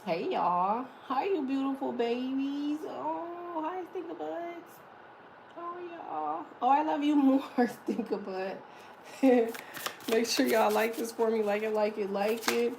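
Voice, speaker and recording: A woman with a deep voice giving a casual, chatty tarot reading, swearing freely as she talks. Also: takes it slowly, gravelly, a little mellow, a little hiss or hum. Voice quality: deep, slightly muffled voice. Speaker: tarot reader.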